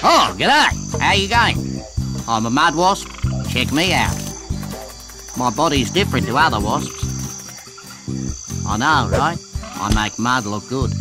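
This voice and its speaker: A man with an Australian accent voicing an easygoing, self-admiring mud wasp in a children's cartoon. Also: medium pitch. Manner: breezy, matey, chatty. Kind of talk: children's cartoon character narration.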